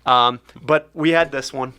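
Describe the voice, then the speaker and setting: A man hosting a comedy podcast, telling stories in a rambling, animated way. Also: medium pitch, smooth. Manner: rambling, quick, animated storytelling. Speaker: podcast host. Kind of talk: casual comedic banter. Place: basement studio.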